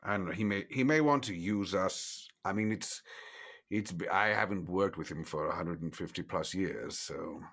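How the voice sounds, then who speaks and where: A man voices an ancient vampire in a tabletop horror game. He speaks low and deliberately, keeping things close, with a wry edge. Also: creaky, fairly bright tone, exaggerated voice. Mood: musing. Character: guarded, centuries-old male vampire, gruff but wry.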